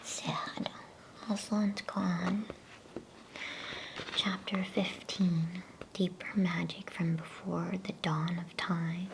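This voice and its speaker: A woman whispering a bedtime story in ASMR style, with a hushed, breathy, gentle delivery. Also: lowish voice, level tone, rough recording. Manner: slow, hushed, gentle pacing. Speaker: storyteller reading a bedtime book. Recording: faint background noise.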